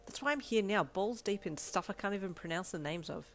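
A woman voicing a blunt, hungry young character who talks in slang and flat deadpan, quick to snap, in a storybook reading. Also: medium pitch, soft background music, lightly acted. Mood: grumpy. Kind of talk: audiobook character voice.

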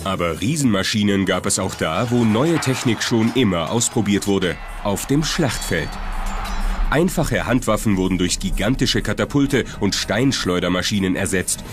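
Male narrator, low register, scripted history documentary read with music clearly underneath. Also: expressive, moderate pace.

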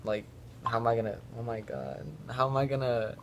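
A man's voice: mid-range voice, fairly even intonation, fairly quick, creaky, background noise. Mumbling teenage boy on a phone. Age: teens. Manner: quiet, mumbling, slangy. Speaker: vlogger filming himself. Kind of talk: casual phone vlog. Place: small room at home. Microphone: phone camera at arm's length.

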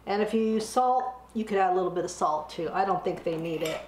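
A woman's voice: lowish voice, fast, darkish tone. Middle-aged woman with a cold, casual step-by-step cooking demo, recorded in a roomy kitchen with faint room noise. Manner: friendly, chatty, step-by-step instructions. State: slightly hoarse from a cold. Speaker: cooking channel host. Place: home kitchen, indoors.

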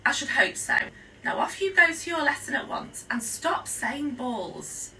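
A woman puts on a stern headmistress from a children's storybook. She is curt, commanding and impatient. The voice is very sharp and forceful, pitched high. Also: big pitch swings, unhurried, creaky, recorded from a distance, a little hiss or hum, heavily acted, rough recording. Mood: stern, scolding. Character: stern, scolding female headmistress, bossy and impatient.

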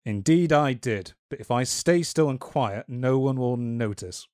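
A man hosting a casual podcast, talking in a measured way with wry, dry humor. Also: medium pitch, animated, a little mellow, no room sound. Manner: measured, wry and dryly joking. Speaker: podcast host.

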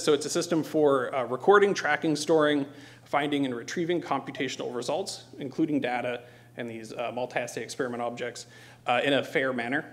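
A middle-aged man delivers a technical presentation at a conference. His voice sits at a medium pitch. He speaks somewhat haltingly, often filling gaps with ums and pausing as he explains. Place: lecture hall stage.

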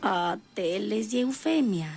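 Slow, creaky, scheming villainess. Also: female voice, medium pitch, expressive, a little mellow, slight background noise. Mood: vain, seductive. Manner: slow, gloating, breathy asides. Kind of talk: radionovela acting, soliloquy.

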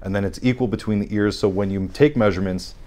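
A man in his thirties, a hearing scientist guest, calmly explaining technical lab procedures in an interview on a studio set. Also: fairly low pitch, quick pace. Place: dark studio set with armchairs.

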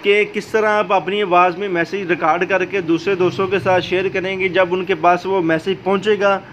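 Male tutorial host, notably high voice, explaining step by step over slightly noisy audio. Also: normal speed. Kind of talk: how-to video narration. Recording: dull lo-fi audio, steady background noise.

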